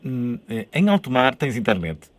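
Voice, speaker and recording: A man with a European Portuguese accent hosting a casual radio interview, inquisitive and halting, often interjecting. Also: mid-range voice, big pitch swings, no room sound. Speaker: radio show host. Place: radio studio.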